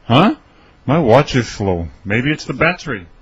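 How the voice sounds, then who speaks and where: Slightly husky man reading lines. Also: medium pitch, sing-song, measured pace. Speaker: dialogue reader for English learners. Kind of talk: scripted conversation reading. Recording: dry, slightly dull studio recording.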